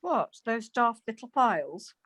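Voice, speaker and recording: A woman plays a chirpy but easily huffy wife in a domestic radio play. Her voice sits fairly high and moves up and down freely, with natural, retorting delivery. It is recorded close, without room echo. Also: very animated, fairly bright tone, husky, full character voice. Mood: sullen, puzzled. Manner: chirpy, indignant, quick to retort. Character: Margaret, bright, stubborn, easily huffy wife in lockdown.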